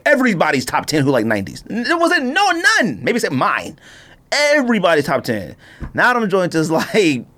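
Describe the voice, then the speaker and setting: American guy on a podcast, super animated, voice swings up and down, slangy and emphatic casual talk. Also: high voice, moderate pace. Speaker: podcast host. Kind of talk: casual chat about sneakers.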